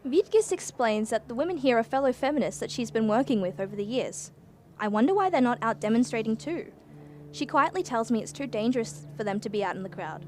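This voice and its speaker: An actress with an Australian accent playing a curious, cheeky young time traveller in a radio play, narrating in a chatty, wide-eyed way. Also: female voice, fairly high pitch, expressive, fairly quick, a little mellow, close to an everyday voice. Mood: puzzled, confiding. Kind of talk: radio play acting, breathless first-person narration.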